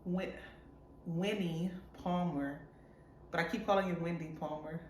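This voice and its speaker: A woman's voice: lowish voice, steady tone, a little slow, darkish tone. A woman vlogging from a hospital room as an expectant mother, chatting in a friendly, talkative way. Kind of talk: casual vlog chat. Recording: echoey room with background noise.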